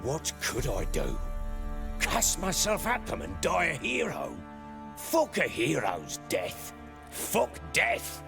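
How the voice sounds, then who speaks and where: An actor playing a gruff, middle-aged self-made baron and soldier in a video game, gravelly voice and blunt, earthy, old-fashioned speech. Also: male voice, a little high, huge pitch swings, takes it slowly, music in the background, exaggerated voice. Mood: bitter, defiant. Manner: blunt, earthy, archaic turns of phrase. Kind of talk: dramatic video game acting.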